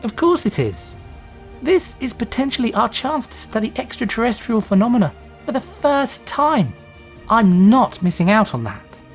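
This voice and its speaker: Storybook pony princess, female, played by a man, pitched well up, studious, brisk, eager; music underneath. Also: very animated, heavily acted. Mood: eager, excited.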